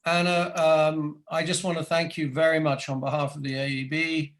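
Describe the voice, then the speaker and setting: Male host, slightly high voice, hesitant and restarting often, on a video call. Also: level tone, normal speed, a bit bright. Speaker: interview host. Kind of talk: online interview.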